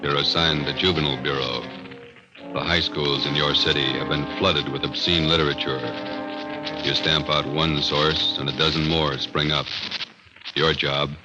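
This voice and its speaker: A man narrates a vintage radio drama in an extremely deep voice, solemn and terse. Loud music plays behind him and the old recording sounds dull. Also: level tone, moderate pace. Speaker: opening and closing narrator.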